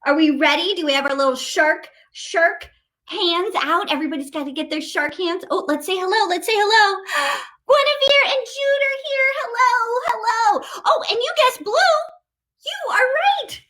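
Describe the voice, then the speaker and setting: A woman's voice: extremely high pitch, lively intonation, normal speed, pushed and bright. A woman hosting a live story time for children, reading and singing in a playful, exaggerated sing-song way and talking straight to the kids. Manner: addresses young children directly with questions. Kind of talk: live read-aloud and sing-along for kids.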